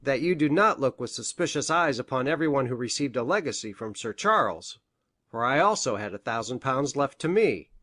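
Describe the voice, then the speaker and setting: Woman putting on an animated, earnest gentleman doctor. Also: a bass voice, a little fast, no room sound, studio quality, pitched below the performer's own voice, exaggerated voice. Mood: earnest, informative. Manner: precise, formal. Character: earnest gentleman doctor, respectable and easily startled.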